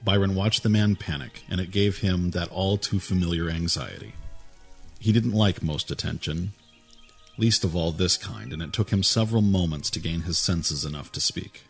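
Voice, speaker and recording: A man reading a fantasy story aloud in a calm, even way, with a husky voice. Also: low voice, normal speed, faint music behind. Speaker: narrator. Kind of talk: storybook narration.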